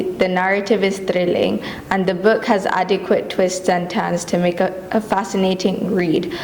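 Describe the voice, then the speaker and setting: A woman reading prepared messages aloud at a launch event, in a measured, formal way. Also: fairly low pitch, darkish tone, roomy sound, a little hiss or hum.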